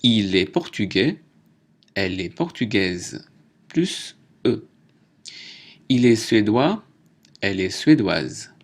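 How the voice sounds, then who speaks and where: A man teaching a French lesson, reading out names, nationalities and exercises slowly and deliberately. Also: fairly low pitch, very animated. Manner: slow, deliberate dictation. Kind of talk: slow lesson narration.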